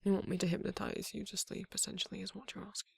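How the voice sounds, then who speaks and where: A performer voicing a tender, courtly vampire lover in an ASMR romance roleplay, breathy and often whispering, with slow, soothing, formal speech. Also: mid-range voice, flat delivery, dry recording, clean studio sound, heavily acted. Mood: soothing, seductive.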